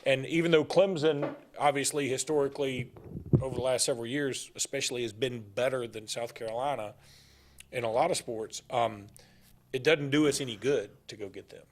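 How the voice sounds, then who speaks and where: A man's voice: medium pitch, brisk. Rambling opinionated man. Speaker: sports talk show co-host. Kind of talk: casual sports talk.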